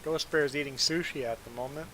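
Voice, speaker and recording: A man hosting a live stream, chatting casually with viewers; his first language is Low German and he grew up in Belize and Canada. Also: fairly quick, a little hiss or hum, poor audio. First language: Plautdietsch (Low German). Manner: relaxed, chatty, answering viewers' chat. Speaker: livestream host.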